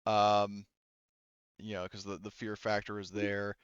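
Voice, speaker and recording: A man's voice: lowish voice, monotone, a little slow, smooth, no echo, dull audio. A man acting as game master, narrating a tabletop game casually and with frequent swearing. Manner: casual, profane.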